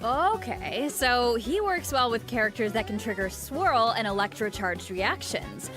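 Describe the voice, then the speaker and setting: A woman with a highish, very lively voice co-hosts a game showcase. She reacts expressively in scripted, conversational banter, with faint music behind her. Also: measured pace. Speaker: co-host of a game preview program.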